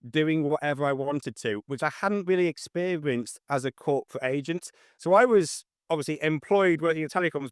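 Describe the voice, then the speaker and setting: A man with a fairly high, clear voice answers questions in a casual interview. His delivery is slightly monotone and matter-of-fact, and the sound is close and dry. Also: no room sound. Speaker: interview guest, estate agent.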